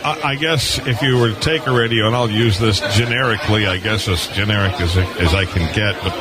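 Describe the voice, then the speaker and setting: A man's voice: fairly low pitch, fairly quick. A man hosting a live radio show, chatting casually in a rambling, folksy, conversational way. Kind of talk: casual live interview chat about amateur radio. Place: busy hamfest exhibit hall, live booth. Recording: live from a crowded convention floor. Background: crowd noise.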